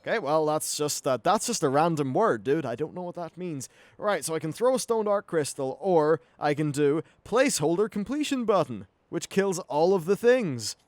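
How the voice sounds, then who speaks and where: A man's voice: on the high side, no room sound. A young man giving a chatty, informal, mildly sweary commentary as he plays through a video game. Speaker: gaming YouTuber. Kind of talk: casual game playthrough commentary. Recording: clear background noise, game ambience.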